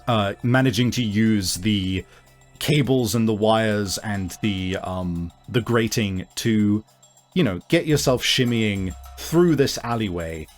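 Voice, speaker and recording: A man improvising narration as game master of a tabletop game, in a halting, conversational way. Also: lowish voice. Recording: music behind the voice. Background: music.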